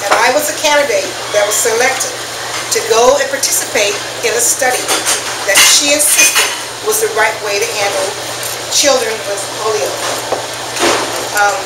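Older woman speaking in a noisy, echoey room. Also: on the high side. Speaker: guest speaker at a business networking meetup. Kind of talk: informal personal presentation to a small group. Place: restaurant dining room. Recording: echoey, noisy room, distant mic, loud background hum.

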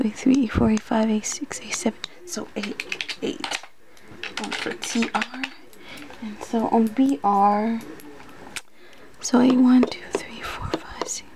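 A woman doing an ASMR roleplay in soft speech that slips into whispers, muttering hesitantly to herself like an unsure student. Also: a little high. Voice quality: soft, often breathy whispering. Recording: noisy close recording with clicks.

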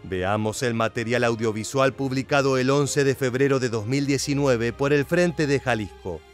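A man giving scripted voice-over narration at a steady, measured pace. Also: mid-range voice, faint music behind. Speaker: documentary narrator.

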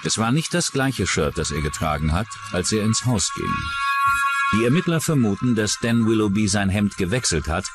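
Low-pitched, dramatically varied male documentary narrator. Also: lively intonation, normal speed, loud music behind the voice. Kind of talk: scripted true-crime narration.

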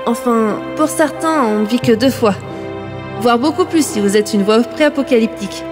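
A woman delivering scripted comedic narration for a radio serial in a deadpan manner. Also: fairly high pitch, normal speed, over music.

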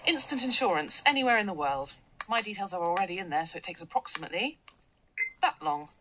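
Snappy thirty-something tech boss, bossy and clipped, pitch bounces around a lot, faint hiss behind, radio play. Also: female voice, expressive, fast, sharp tone, rough recording. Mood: brisk, commanding. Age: 30s. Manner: clipped, commanding, always on the phone. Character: brisk powerful female tech CEO, impatient. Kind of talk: BBC radio drama acting.

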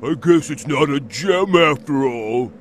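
A man's voice: a little high, animated, measured pace, a little hiss or hum. An actor playing a big, eager, dim-witted male albatross sidekick in a video game. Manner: eager, blustery. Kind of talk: video game cutscene acting.

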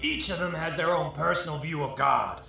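An actor playing a gruff, wisecracking, drunken God in a comic drama, folksy and blunt, recorded in an echoey room. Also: male voice, on the high side, normal speed, pushed and bright. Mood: blunt, sardonic. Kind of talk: stage-style comic drama dialogue. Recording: very echoey room, faint noise.

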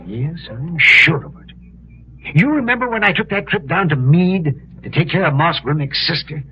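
Lively, high-voiced folksy frontier townsman. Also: moderate pace. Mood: earnest. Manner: folksy, chatty. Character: folksy, talkative townsman of the Old West. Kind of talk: 1950s radio western acting. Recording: dull, hissy old 1950s radio recording.

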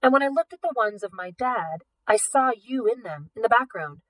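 A woman's voice: on the high side, expressive, moderate pace, a bit bright, dry recording, pitched above the performer's own voice, rough recording. A woman voicing a determined, suspicious teenage girl thief in an audiobook, pointed and teasing. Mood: wry, accusing.

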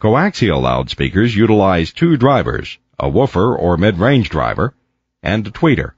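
Male instructional narrator, low register, measured and didactic, very dry voice-over with slightly muffled sound. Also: huge pitch swings, slightly rough, no room sound. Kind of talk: scripted educational narration. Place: close, dry voice-over recording.

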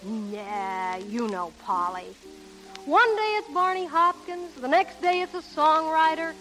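High, brassy wisecracking showgirl. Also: lively intonation, takes it slowly, bright, forceful tone, exaggerated voice. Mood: wry. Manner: sardonic, slangy. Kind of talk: 1930s radio acting. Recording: vintage 1930s radio, music, crackle.

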